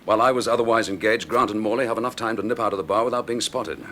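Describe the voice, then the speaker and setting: A confident detective inspector in a radio drama. His voice is very low and quick, breezy yet in command, on a noisy recording. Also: pushed and bright. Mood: wry. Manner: breezy, clipped, authoritative. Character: brisk, wry, confident male police inspector.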